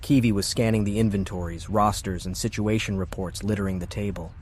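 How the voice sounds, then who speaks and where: A man narrating a fiction audiobook in a measured, serious storytelling style. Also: on the low side, fairly even intonation, darkish tone, slight background noise.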